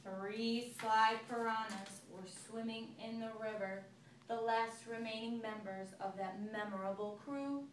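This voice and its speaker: A woman's voice: on the high side, steady tone, a little slow. A woman teacher reading a picture book aloud to a child, telling it expressively and stopping to ask questions. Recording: echoey room, recorded from far off, noisy.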